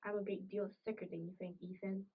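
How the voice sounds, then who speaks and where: A woman's voice: medium pitch, level tone, fast talker, slightly rough, lightly acted. A woman playing a sickly, bitter, complaining farm wife with old-fashioned rural phrasing in an amateur period drama. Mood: ailing, complaining. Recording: muffled audio, distant microphone.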